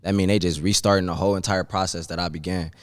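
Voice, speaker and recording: A man's voice: low-pitched, fairly even intonation, fast talker, no echo. A man in his twenties from Cleveland, Ohio, talking casually as a podcast guest in a laid-back, slangy way with frequent ums. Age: 20s. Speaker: podcast guest, business owner. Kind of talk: casual interview chat.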